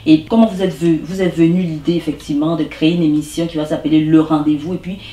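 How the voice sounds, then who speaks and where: A woman's voice: deep voice, fairly even intonation, fast, warm tone. Woman host with echoey distant sound. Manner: attentive, frequent short backchannels. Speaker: host, interviewer. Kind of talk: interview questions. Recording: echoey room, mic at a distance.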